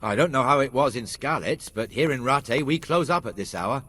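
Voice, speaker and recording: An actor playing a gruff, talkative medieval town guard in a video game, plain-spoken and bossy. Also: male voice, mid-range voice, animated, fairly quick, bright, forceful tone. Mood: businesslike. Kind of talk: video game dialogue acting.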